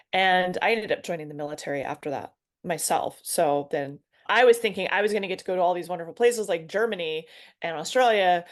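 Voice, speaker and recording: A middle-aged American woman, a guest on a video call from a spare room, telling her story in casual conversation, chatty and quick to laugh at herself. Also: on the low side. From United States. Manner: chatty, self-deprecating, laughs easily.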